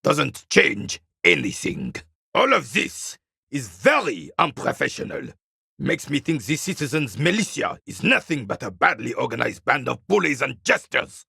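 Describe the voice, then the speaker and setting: Gravelly, husky proud old soldier. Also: male voice, medium pitch, wildly swooping pitch, normal speed, bright, forceful tone, dry recording, studio quality, heavily acted. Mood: stern disapproval. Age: older. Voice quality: gravelly, raspy. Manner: stern, clipped, disdainful. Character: proud, bitter old royalist soldier, gruff and contemptuous. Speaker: retired carabinier working as a guard. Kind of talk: video game character dialogue.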